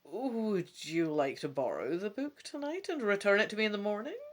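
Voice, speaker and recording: A woman's voice: medium pitch, very animated, moderate pace. A woman voicing a mysterious, confident old woman who sells magical wares, in a theatrical, knowing storybook delivery.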